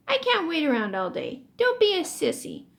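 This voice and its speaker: A woman's voice: very high-pitched, huge pitch swings, moderate pace, a bit bright, pitched above the performer's own voice, exaggerated voice. A woman voicing a stubborn, combative schoolboy who goads and pushes, in a children's storybook. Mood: irritated, goading. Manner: pushy, goading. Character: stubborn, combative schoolboy determined to win a bet.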